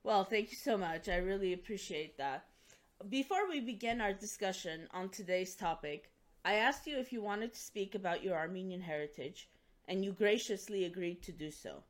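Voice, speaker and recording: A woman hosts the interview at a measured, formal pace. She reads a prepared introduction in an even, mid-pitched voice before turning to her questions. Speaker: host.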